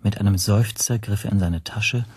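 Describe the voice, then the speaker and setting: A man playing the reflective narrator of a radio drama, telling his story soberly in a husky voice, measured and observant. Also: on the low side, noisy background, natural voice. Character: reflective first-person narrator recalling his interrogation.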